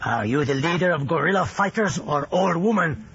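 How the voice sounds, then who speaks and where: An actor playing a stoic, blunt old guerrilla fighter in a vintage radio drama. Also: male voice, fairly high pitch, expressive, normal speed, creaky, a bit bright, dull audio. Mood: mocking, firm.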